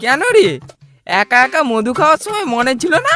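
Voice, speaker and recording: A gossipy, cheeky lad from a comic cartoon, acted by a woman, high and very lively in tone. Music plays behind. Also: moderate pace, exaggerated voice. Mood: gossipy, teasing. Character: cheeky, gossipy male pal in a slacker gang.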